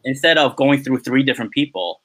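A young man speaks as a podcast guest in a bright, forceful voice. He rambles and pads his sentences with "you know" and "um". The talk is relaxed and conversational. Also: medium pitch, a little fast. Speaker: podcast guest, film assistant director. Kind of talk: casual interview chat.

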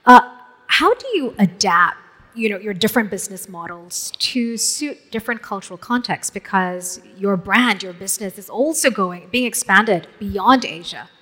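A woman's voice: medium pitch, normal speed, sharp tone. A woman, moderating a stage panel and asking conversational, inviting questions. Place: large event hall. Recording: long hall reverb.

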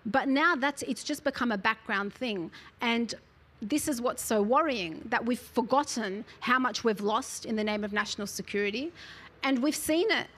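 Articulate woman, fairly high voice. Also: moderate pace, fairly bright tone. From Australia. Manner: articulate, frequent "you know" fillers, earnest. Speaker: author and interview guest. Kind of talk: conversational interview.

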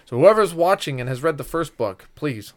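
A man in his thirties chatting casually as a podcast co-host, an animated storyteller who acts out what people said. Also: fairly high pitch, moderate pace. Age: 30s. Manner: animated storyteller acting out quotes, laughs often. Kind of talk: casual chat, telling anecdotes.

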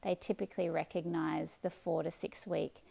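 An Australian woman in her thirties hosting a solo podcast, chatting in a relaxed way with many ums and restarts. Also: on the low side, moderate pace, gravelly, breathy edge. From Melbourne, Australia. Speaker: podcast host. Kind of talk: casual solo podcast chat.

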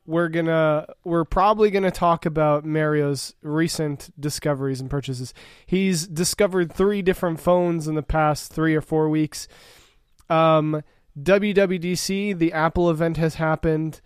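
A man hosting a casual tech podcast, relaxed and full of fillers. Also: a little high, clean tone, dry recording, studio quality. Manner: relaxed, uses many fillers. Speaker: podcast host.

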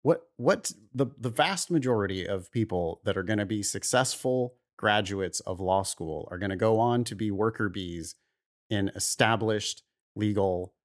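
A man's voice: on the low side, normal speed. A man co-hosting an advice podcast, talking casually and giving blunt, opinionated takes. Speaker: podcast host.

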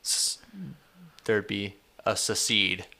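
Bright, crisp laid-back young man. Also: lowish voice, level tone, slow. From Texas. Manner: laid-back, swears often, says "dude" and "like". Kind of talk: casual chat.

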